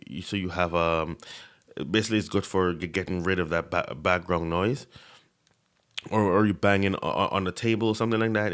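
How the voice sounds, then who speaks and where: A man's voice: low-pitched, fairly bright tone, clear, resonant tone. A man giving a casual tech review at his desk, talking into a desk microphone, often stuttering and repeating words. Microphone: desk microphone under review.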